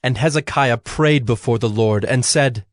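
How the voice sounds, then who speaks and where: A man's voice: mid-range voice, normal speed, dry recording, studio quality. A man narrating scripture from a script as the storyteller of an audio drama.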